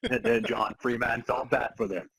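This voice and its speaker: A man's voice: medium pitch, sing-song, moderate pace, creaky, fairly bright tone, husky, no room sound. A man talking casually as a podcast co-host. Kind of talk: casual chat.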